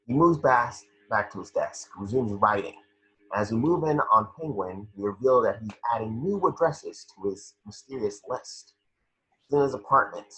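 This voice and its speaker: A man reading scene descriptions at an amateur table read, with a husky voice. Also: lowish voice, expressive, moderate pace, a bit bright, rough recording.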